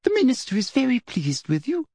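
A sugary, silky-voiced woman official, soft and simpering but cold beneath the smile, as a man puts her on in a high, lilting voice. Her tones float well above the reader's own, dry and close, with a husky edge. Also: huge pitch swings, fairly quick, no room sound, exaggerated voice. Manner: silky, falsely sweet. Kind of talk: audiobook character voice.

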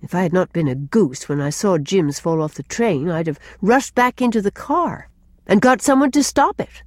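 A woman's voice: on the low side, animated, normal speed, no echo, studio quality. A woman voicing a plucky, sensible young woman in an audiobook story. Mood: resolute. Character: young woman, sensible, plucky, chatty, flustered when cornered. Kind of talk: audiobook character dialogue.

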